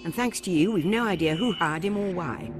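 A woman's voice: fairly low pitch, a little fast, music in the background, close to an everyday voice. An older woman with a British accent playing a stern, clipped intelligence chief in a video game cutscene. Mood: cold, reproachful. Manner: clipped, authoritative, exasperated. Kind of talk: dramatic game cutscene acting.

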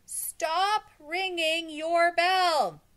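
A woman voicing an urgent crowd of schoolchildren and teachers, shouting in a drawn-out chant. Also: extremely high pitch, steady tone, pitched above the performer's own voice, full character voice.

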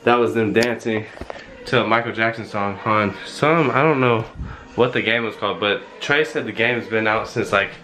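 A man's voice: fairly low pitch, moderate pace, bright, forceful tone, low-quality recording. A young man casually talking to his phone camera at home, rambling and slangy as he wraps up his vlog. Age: young adult. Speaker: vlogger. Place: indoors at home, small room. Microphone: phone held at arm's length.

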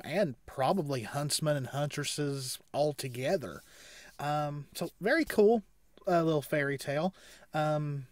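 A man's voice: medium pitch, no room sound. A man in his thirties chatting casually as he hosts a reaction video. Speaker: reaction show host. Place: small indoor room.

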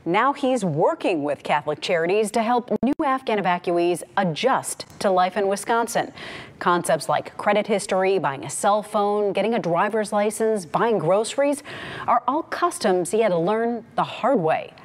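A woman news reporter giving scripted voice-over narration for a TV story, measured and polished. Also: medium pitch, animated.

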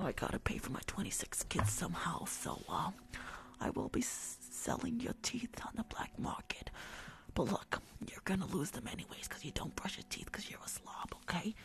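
A woman whispers through an ASMR roleplay with plenty of gravelly fry at the edges of her voice. She plays a bossy, sassy dentist who teases the patient and doubles back on short phrases. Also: mid-range voice. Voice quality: breathy whisper with creaky edges. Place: small room at home, indoors.

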